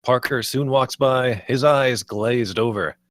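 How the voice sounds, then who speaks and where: A man's voice: mid-range voice, slow, a bit bright, no room sound. Man reading screenplay directions, dry sound. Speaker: stage-direction narrator. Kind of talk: scripted narration at a table read.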